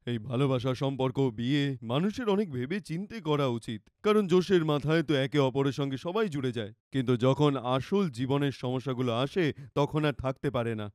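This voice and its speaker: A man's voice: medium pitch, lively intonation, moderate pace, few pauses, darkish tone, no room sound, studio quality, natural voice. A man voicing a poor, sober, cautious college student in a cartoon story, serious and admonishing. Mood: stern, resigned. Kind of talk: dramatized cartoon romance story.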